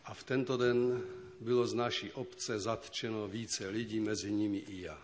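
A man from the Czech lands giving measured testimony as a witness in court. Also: lowish voice, level tone. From Czech lands (Sudetenland). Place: large courtroom. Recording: old tape, hall reverb, faint hiss.

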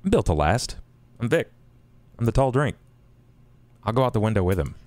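A man with a low voice takes part in a tabletop game. He talks casually, thinking aloud with some hesitation as he decides what to do. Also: very animated, normal speed, a little mellow, no echo.

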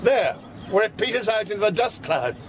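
An actor playing a blunt, haunted military captain who questions things tersely in a radio drama. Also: male voice, high-pitched, very animated, normal speed, some chatter behind. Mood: puzzled, dry. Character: gruff military captain, haunted, practical, adult man. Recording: music under the dialogue.

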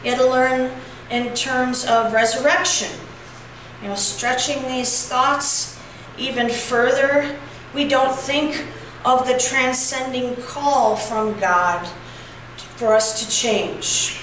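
Middle-aged woman pastor, reflective measured sermon, bright-ish voice, far mic, boomy church echo. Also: fairly even intonation, a little hiss or hum. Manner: reflective, measured preaching with occasional fillers. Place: church sanctuary, at a pulpit. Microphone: far camera. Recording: very echoey church from a distance.

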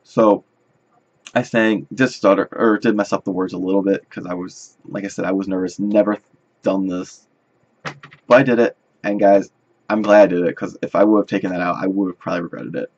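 Gravelly young man vlogging, husky and low. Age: 20s. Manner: rambling, halting storytelling. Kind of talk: casual chat to camera. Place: indoors in front of a draped backdrop. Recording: dull, lo-fi webcam-style sound.

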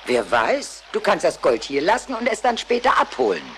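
A woman's voice: medium pitch, huge pitch swings, normal speed, a little hiss or hum. A woman voicing an older, wise wild goose who leads the flock with authority in a cartoon audio play. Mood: thoughtful.

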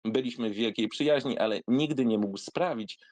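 A man giving a theatrical reading of a literary memoir, in an expressive, wry, conversational storytelling manner. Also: mid-range voice, moderate pace, no echo. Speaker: narrator reading a literary essay aloud.

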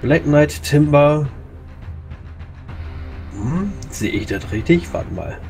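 A man casually commentating a video game match, chatty and quick to react. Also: a little high, big pitch swings, creaky, a little mellow, soft background music. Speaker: esports commentator.

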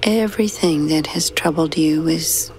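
Low-pitched woman guiding sleep meditation. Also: slight background noise, poor audio. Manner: slow, soothing, hushed with long pauses. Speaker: meditation guide. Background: soft background music.

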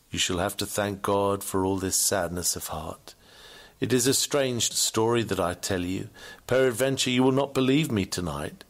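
Male sermon reader, slightly low voice, earnest and formal, with old-fashioned pulpit oratory. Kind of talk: scripted sermon reading, preacher-like delivery.

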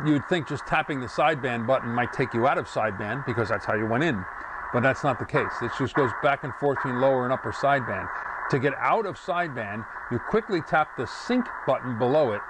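A man's voice: mid-range voice, lively intonation, fairly quick. A man in his sixties casually reviewing a portable radio, thinking aloud and correcting himself as he goes, recorded on a lapel mic at home and on a screened porch. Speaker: ham radio hobbyist reviewing a radio. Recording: radio static and noise behind.

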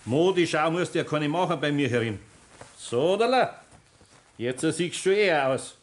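An actor playing a patient but firm older master carpenter with a Bavarian accent, speaking in a homely, deliberate way in a 1960s radio play. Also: male voice, a little high, lively intonation, close to an everyday voice. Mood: patient, instructing.

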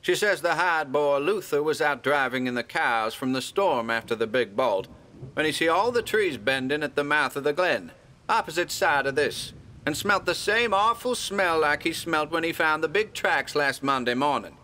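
A man plays a worried country villager in a horror audio drama, rushing through his account in folksy rural speech. His voice is fairly high and very lively. It has a bright, sharp tone. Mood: urgent.

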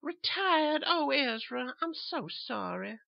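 A man voicing a timid, fretful old spinster, speaking in a stammering, pleading falsetto. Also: very animated, takes it slowly, gravelly, muffled recording, exaggerated voice. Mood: tender.

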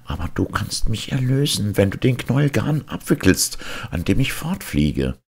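A man's voice: lowish voice, very animated, moderate pace, creaky, breathy edge, voice pushed up, full character voice. A man voicing an enchanted swan prince, solemn and pleading, in a fairy-tale reading.

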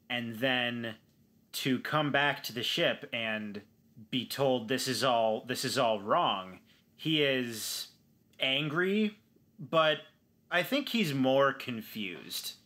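A man speaks as a player in a tabletop game, with a bright, forceful voice of medium pitch. He talks casually and emphatically, repeating phrases as he works out his character. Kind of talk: casual, improvised character talk.

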